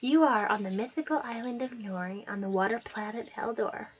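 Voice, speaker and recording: A woman voicing a small, giggling, man-eating jungle creature that talks slowly and taunts. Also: on the high side, husky, lo-fi sound. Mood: gleeful.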